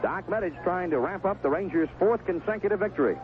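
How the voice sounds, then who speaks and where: A man giving live 1970s radio play-by-play of a baseball game, describing each pitch in short, clipped phrases. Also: lively intonation, fast. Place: outdoor ballpark broadcast booth. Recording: noisy, tinny narrowband vintage radio broadcast.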